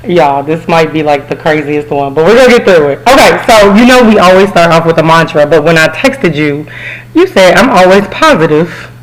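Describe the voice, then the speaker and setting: A hoarse woman with a cold hosting a podcast in casual, chatty talk full of fillers and asides. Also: lowish voice, animated, normal speed, low-quality recording. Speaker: podcast host.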